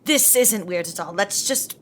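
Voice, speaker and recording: A woman's voice: mid-range voice, lively intonation, unhurried. A woman playing in a tabletop roleplay podcast, chatting casually and describing what her character does. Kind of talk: animated casual roleplay chat.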